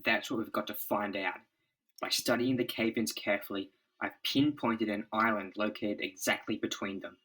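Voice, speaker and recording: A man's voice: on the low side, fairly even intonation, moderate pace, bright, forceful tone, low-quality recording. A man playing an authoritative scientist leader, serious, urgent and declarative. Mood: resolute. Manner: earnest, declarative.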